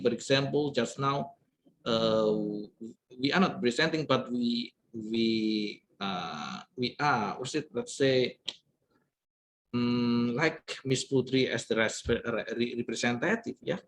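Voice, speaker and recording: Man, lecturer, mid-pitched, steady explaining with "yeah" fillers, faint hiss behind. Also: low-quality recording. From Indonesia. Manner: repetitive fillers, frequent "yeah" tags. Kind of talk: online lecture.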